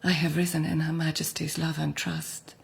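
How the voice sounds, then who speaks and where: An actress playing a poised, devoted lady of the Japanese imperial court in a radio drama, hushed and restrained. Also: low-pitched, level tone, moderate pace, creaky, husky, heavily acted. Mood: guarded.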